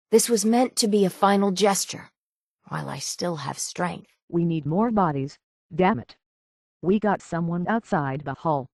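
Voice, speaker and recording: A gruff, low-voiced sailor woman from a video game. She talks in plain, folksy islander phrases with a gravelly edge, resigned to her fate yet stubborn. The voice is close and dry. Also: dry recording, heavily acted. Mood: bitter, reflective, then urgent, commanding. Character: weary, stoic, gruff dying island sailor woman guarding the town's hull. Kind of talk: dramatic video game dialogue.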